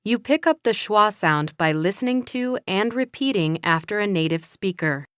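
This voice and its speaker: A woman playing an encouraging but firm English teacher, speaking slowly and carefully in a scripted classroom dialogue. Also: medium pitch, lively intonation, clear, resonant tone, dry recording, studio quality, lightly acted. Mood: instructive. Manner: slow, careful, instructive delivery.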